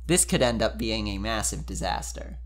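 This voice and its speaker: A man's voice: lowish voice, lively intonation, moderate pace. A man speaking informally and expressively, as a podcast member giving an amused dramatic reading of fan fiction.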